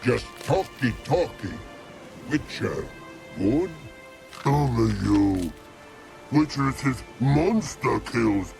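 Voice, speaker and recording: Game creature: huge slow-witted, stubborn troll, very laboured delivery, swooping pitch, gravel, broken grammar. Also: male voice, expressive, over music, heavily acted. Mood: earnest, muddled. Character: big dim-witted stubborn male rock troll. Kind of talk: video game character acting.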